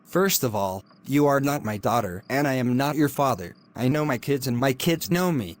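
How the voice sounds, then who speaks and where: A middle-aged man, acting a predatory lecturer who speaks low, quiet and threatening. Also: lively intonation, fairly quick, close to an everyday voice. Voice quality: low, quiet and menacing delivery. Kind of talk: amateur drama acting.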